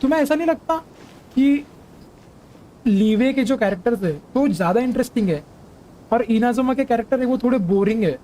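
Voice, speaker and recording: A man's voice: very high-pitched, expressive, very fast, pauses often, dark tone, slight background noise, low-quality recording. A man with an Indian accent chatting casually as a game streamer, often putting questions to viewers. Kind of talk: casual gameplay chat.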